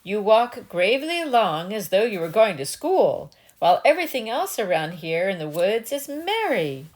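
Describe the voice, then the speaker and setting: Woman putting on a sly storybook wolf, swooping pitch. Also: big pitch swings, normal speed, a little mellow. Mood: sly, persuasive. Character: sly wicked male wolf, smooth-talking predator.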